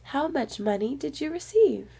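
A woman reading a picture book aloud to young children in an expressive storyteller's manner. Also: high voice, normal speed, vocal fry.

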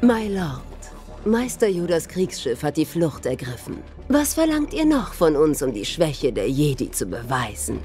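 A woman's voice: deep voice, wildly swooping pitch, unhurried, warm tone, soft background music, full character voice. A woman playing a sinister, sly villain's apprentice, mock-courteous and taunting. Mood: sly, scornful.

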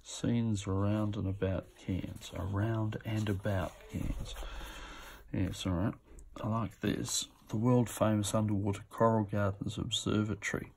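Low-voiced, slow-talking man with gravelly creak. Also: level tone, a little mellow, slight background noise. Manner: musing, talks to himself. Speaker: auction lot presenter. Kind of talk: casual off-the-cuff commentary on items being shown. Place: indoors at a table.

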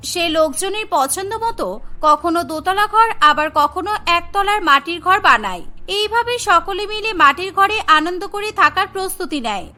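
A woman's voice: very high voice, animated, moderate pace, bright, forceful tone. A woman narrating a scripted cartoon story as the storyteller.

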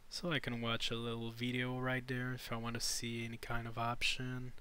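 A man narrating an improvised step-by-step screen tutorial in halting, short instructional phrases. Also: mid-range voice, completely flat delivery, sharp tone, clear, resonant tone. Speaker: tutorial presenter.

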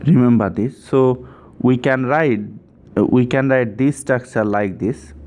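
A man's voice: mid-range voice, a little slow, a little mellow. A man giving an informal step-by-step chemistry tutorial, repeating himself and often checking in with "right?".

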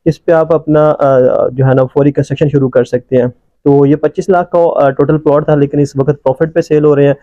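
A man, a real estate agent, narrating a property tour from inside a moving car in a brisk sales pitch with many "uh" fillers. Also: fairly even intonation, dry recording, dull audio. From Pakistan.

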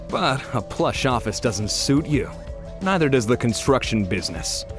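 Man as a sly, animated arsonist villain. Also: medium pitch. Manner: taunting, theatrical, smug. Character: sly, mocking male arsonist villain relishing destruction. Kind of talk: audio drama villain acting.

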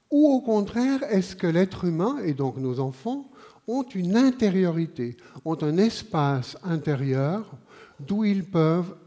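A man in his sixties from Switzerland with a Swiss French accent, giving a conference talk on a large auditorium stage with a headset mic, speaking in a measured, unhurried way with pauses and fillers. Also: a little high, mellow.

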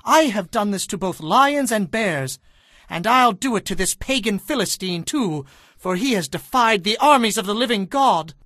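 High-voiced, earnest young shepherd. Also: male voice, lively intonation, moderate pace, pushed and bright, no echo, studio quality. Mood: confident. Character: bold, earnest young shepherd boy of faith. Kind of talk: dramatized audio Bible acting.

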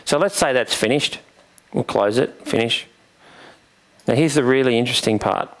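A man, giving an informal software tutorial into a headset microphone. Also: medium pitch, moderate pace. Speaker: presenter, software vendor demonstrating a product. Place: indoors, presenting at a computer.

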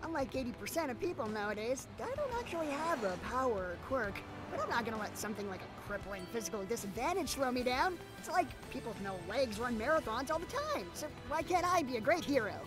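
Performer as earnest teenage boy, high voice, rambling, apologetic, anime parody dub, music underneath. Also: sing-song, fast, hardly any pauses. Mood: nervous rambling. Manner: hesitant, rambling, apologetic, self-correcting. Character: earnest, nervous, rambling teenage boy who idolizes heroes.

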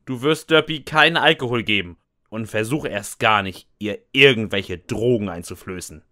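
Low, bright-voiced sarcastic male narrator. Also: normal speed, dry recording. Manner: dry, sarcastic, resigned. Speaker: narrator and lead of a fan audio drama. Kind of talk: scripted first-person comic narration with dialogue.

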